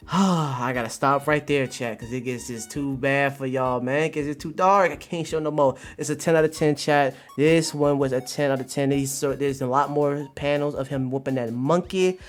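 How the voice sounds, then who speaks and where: A male streamer doing a casual, slangy livestream review, exclaiming in an animated way and repeating his phrases over and over. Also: mid-range voice, moderate pace, soft background music. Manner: slangy, informal talk.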